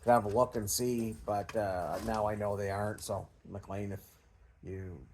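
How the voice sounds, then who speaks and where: A man's voice: lowish voice, fairly even intonation, measured pace, darkish tone, a little hiss or hum. A Canadian man talking in a casual, unscripted vlog style, rambling and hesitant in a self-effacing way. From Canada, small-town Ontario. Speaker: scrap recycling vlogger.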